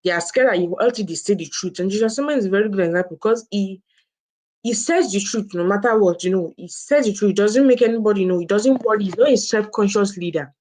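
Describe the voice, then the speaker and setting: A woman's voice: mid-range voice, fast talker, a little mellow. A woman co-hosting a book review, explaining informally and often restating and restarting her phrases. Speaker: co-host presenting a book review.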